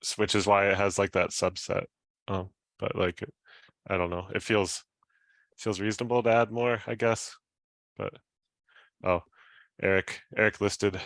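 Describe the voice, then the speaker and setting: A man with a fairly low but bright, crisp-edged voice chats about technical matters. He speaks informally and hedges, heard close and dry through a headset. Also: level tone, measured pace, long pauses, no room sound. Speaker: software engineer on a working group call. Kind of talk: casual technical discussion. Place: room at home, video call. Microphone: headset boom mic.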